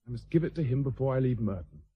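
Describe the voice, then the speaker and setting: An actor playing an earnest, stubborn schoolboy nephew, honour-bound, in a radio drama. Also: male voice, medium pitch, expressive, fast, slightly rough, dry recording, dull audio. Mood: shaken, solemn. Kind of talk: British stage-play radio acting.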